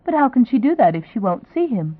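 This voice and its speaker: A woman's voice: on the high side, fairly quick, no room sound, pitched above the performer's own voice. A woman voicing a young woman who chatters eagerly and gushes. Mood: curious. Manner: eager, gushing, lively. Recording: tinny, phone-like sound.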